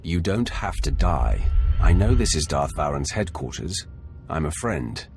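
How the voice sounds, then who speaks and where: Very deep, gravelly Sith warrior. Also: male voice, very animated, measured pace, a little mellow, soft background music, heavily acted. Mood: calm, assured. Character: menacing, commanding Sith warrior, calm and dry. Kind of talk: video game voice acting.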